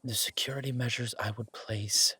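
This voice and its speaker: A man playing an earnest, protective, wry mafia boss on a first date in a romantic audio roleplay, speaking in a measured, intimate way. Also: mid-range voice, steady tone, sharp tone, breathy edge, exaggerated voice. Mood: earnest, grave.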